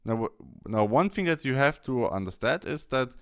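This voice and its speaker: Man narrating a tutorial, lively pitch, clear. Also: normal speed, no echo, clean studio sound. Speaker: tutorial presenter. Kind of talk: software tutorial narration, explaining steps while working.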